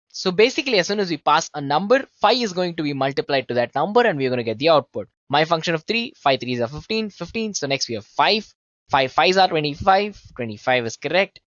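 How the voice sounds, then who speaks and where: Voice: medium pitch, fairly bright tone, no room sound, lo-fi sound. A programming instructor explaining code step by step in a conversational tutorial style, addressing learners casually and checking in with tag questions.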